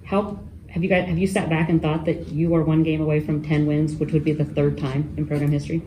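A male reporter asks his questions hesitantly, in a higher, mellow voice. His tone barely moves. The room gives an echo, with faint noise behind. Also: level tone, a little fast. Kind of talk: press conference questions. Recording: echoey room.

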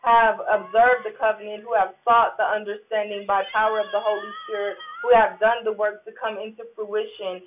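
A preacher giving a sermon, pausing often with fillers and restarts and checking in with "right?". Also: mid-range voice, little pitch movement, a little hiss or hum. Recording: tinny phone-like recording with faint music.

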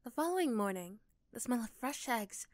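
A woman's voice: fairly high pitch, expressive, moderate pace, no echo. A woman reading scripted second-person story narration for an ASMR roleplay.

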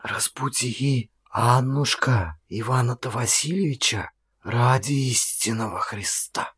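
A man voicing a frightened, gossipy nun in an audiobook, telling her tale in hushed, breathless, folksy chatter. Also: medium pitch, takes it slowly, slightly rough, full character voice. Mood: urgent, pleading. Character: frightened gossipy nun whispering urgently at night.

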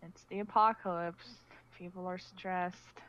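A woman playing a level-headed, caring apocalypse survivor in a casual, measured amateur roleplay, with faint noise. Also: lowish voice, steady tone, a bit bright, highs cut off. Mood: calm, concerned.

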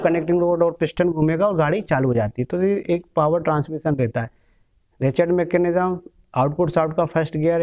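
A man in his thirties teaching bike mechanics, explaining step by step while handling engine parts in a small room. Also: high-pitched. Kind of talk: hands-on workshop lesson. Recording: dull lo-fi sound.

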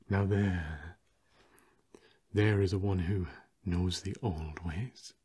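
A young man playing a fussy, old-fashioned vampire housemate in an ASMR roleplay, breathy and drily polite. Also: low voice, darkish tone, exaggerated voice. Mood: dry, wistful. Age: young adult. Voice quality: breathy, husky. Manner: genteel, dry, measured.

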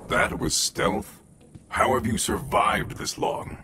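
A man's voice: slow, very noisy, lightly acted. A man playing a cold, disdainful hunter in a video game, speaking in a curt, dry, threatening manner. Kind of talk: video game character acting.

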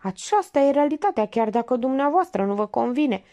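An easily offended male bit-part actor dodges questions with curt, defensive answers, as a woman reading aloud voices him. The voice sits high with lively swings in pitch.

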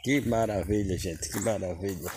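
A man with a very deep voice walks through his backyard, enthusiastically pointing things out. He talks casually to the viewers. His phone picks up a clear layer of outdoor noise. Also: moderate pace, darkish tone. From Northeast Brazil. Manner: enthusiastic, repeats "que maravilha" and "olha". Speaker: vlogger. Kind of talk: casual vlog tour of his backyard garden. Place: outdoors in a sunny backyard garden. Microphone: phone camera held while walking.

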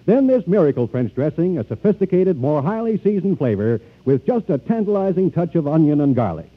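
A man's voice: a little high, sing-song, a little fast, husky. A man reading a scripted 1940s radio advertisement as an announcer, heard through a narrow, tinny old recording.

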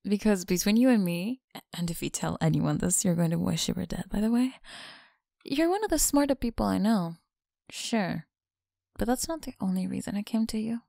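A woman voicing a mean, teasing tsundere college bully in an intimate ASMR roleplay, creaky and often dropping into breathy whispers. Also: medium pitch, expressive, normal speed, dark tone, dry recording, clean studio sound. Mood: smug, softer.

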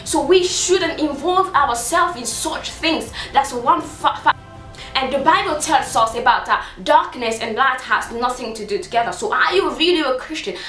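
A woman's voice: high-pitched, lively intonation, moderate pace, fairly bright tone, distant mic, faint music behind. A young woman from Congo preaching earnestly to camera from a small room, insistent and repetitive, asking rhetorical questions. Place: small room indoors, seated on sofa. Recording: echoey room, voice set back from mic.

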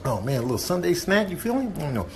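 A man's voice: a little high, big pitch swings, fast, darkish tone, a little hiss or hum. A man voicing a laid-back animal, murmuring casually and contentedly in a comic animal voice-over. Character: laid-back animal savouring a flower snack.

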